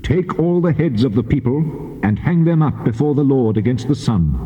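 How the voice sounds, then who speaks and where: An actor playing a stern, wrathful God in a dramatized audio Bible, giving solemn commands. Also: male voice, mid-range voice, lively intonation, normal speed, dark tone, muffled recording, lightly acted. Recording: echoing reverb, old tape.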